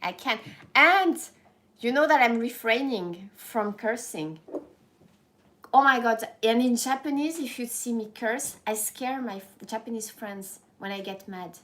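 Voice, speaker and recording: A woman's voice: fairly high pitch, measured pace, fairly bright tone, slight background noise. A woman in her thirties with a French accent, chatting casually with viewers on a live stream, rambling and frank with frequent restarts. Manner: rambling, self-correcting, frank, laughs easily. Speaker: YouTuber hosting a live stream. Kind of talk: casual live-stream chat reading comments.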